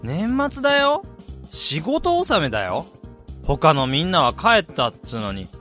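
A man playing a worn-out, whiny salaryman in a radio drama, sighing and grumbling in rough casual speech. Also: on the high side, wildly swooping pitch, unhurried, pushed and bright, soft background music, exaggerated voice. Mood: whiny, defeated. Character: whiny worn-out salaryman sulking over overtime.

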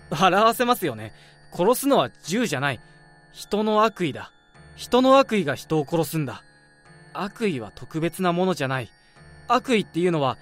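Guy doing a creepy polite junior coworker, voice jumps all over, soft music underneath. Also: high-pitched. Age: young adult. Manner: polite then mocking, coolly sinister. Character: sly young male coworker who talks about murder. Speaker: junior office worker. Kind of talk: radio drama acting.

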